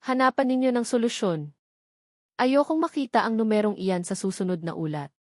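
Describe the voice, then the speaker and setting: A Filipino woman voicing a cold, calm and demanding male chief executive in a narrated love story. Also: a little high, normal speed, dry recording, studio quality, lightly acted. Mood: cold, commanding.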